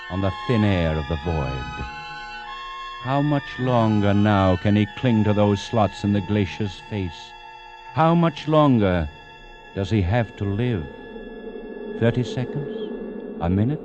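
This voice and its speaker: An actor playing a grave suspense narrator, portentous and theatrical, in a muffled 1940s radio drama. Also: male voice, lowish voice. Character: grave, ominous male narrator of suspense tales. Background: dramatic music.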